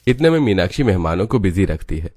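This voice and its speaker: A male narrator with a deep voice reads a scripted family tale. The sound is dry, with no room echo. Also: sing-song, fast, darkish tone.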